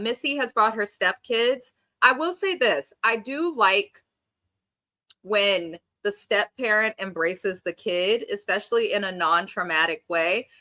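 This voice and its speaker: A bright-voiced young woman talks through a reality show episode in a casual, slangy way. Her nose is stuffy from allergies, which gives her a slightly nasal sound, and her delivery is animated and chatty. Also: medium pitch. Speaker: reality TV reviewer. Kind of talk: casual recap and reaction.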